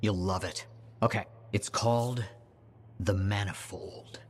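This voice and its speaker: An actor playing an excitable, breezy inventor and overconfident schemer in a video game, with a husky voice. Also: male voice, fairly low pitch, slow pace. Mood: eager, confident. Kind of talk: video game cutscene dialogue.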